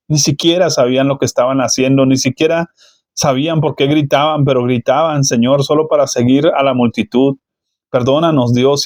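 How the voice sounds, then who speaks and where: A man leading a spoken prayer, slow and measured, calling on the Lord between nearly every phrase. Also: medium pitch, darkish tone, no room sound, clean studio sound. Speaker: praying minister.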